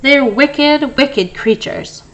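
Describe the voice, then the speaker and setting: A woman reading the part of a brisk, firm male mouse dentist in a storybook, announcing things with professional authority. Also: sits high, dull audio, voice pushed up, heavily acted. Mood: wary, muttering. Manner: firm, announcing, sometimes sharp.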